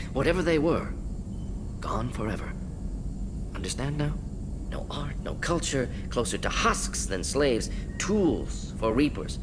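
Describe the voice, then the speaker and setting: A man's voice: mid-range voice, noisy background. An actor playing a restless, intensely analytical male alien scientist in a video game, speaking in clipped, telegraphic phrases. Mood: grave, clinical. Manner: clipped telegraphic phrases, articles and pronouns dropped. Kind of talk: video game character dialogue.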